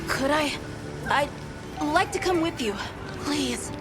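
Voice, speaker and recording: A woman's voice: high-pitched, animated, slow. A woman voicing a young, earnest apprentice hunter in a game cutscene, speaking in a pleading, heartfelt way. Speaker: game character. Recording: game cutscene with loud music.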